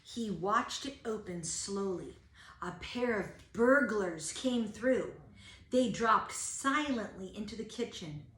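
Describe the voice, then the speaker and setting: A woman's voice: medium pitch, animated, slow, fairly bright tone. A woman reading a children's storybook aloud like a teacher, expressively stressing key words. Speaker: teacher reading a picture book. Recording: roomy, echoing home-style recording.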